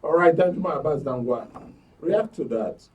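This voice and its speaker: A man's voice: a little high, animated, moderate pace, darkish tone. A man moderating a current affairs panel as host, with brief interjections. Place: broadcast studio panel. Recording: distant mic, dull muffled audio.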